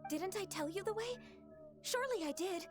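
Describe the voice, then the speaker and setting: A woman voicing a polite, gentle, wistful half-human immortal secretary in an anime video game. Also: extremely high pitch, expressive, moderate pace, over music. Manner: polite, soft-spoken, hesitant.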